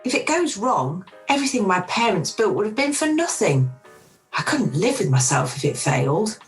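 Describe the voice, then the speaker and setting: A woman playing a warm but self-doubting middle-aged restaurant owner in an amateur radio play, recorded over a video call. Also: mid-range voice, big pitch swings, moderate pace, faint music behind, low-quality recording. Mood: anxious.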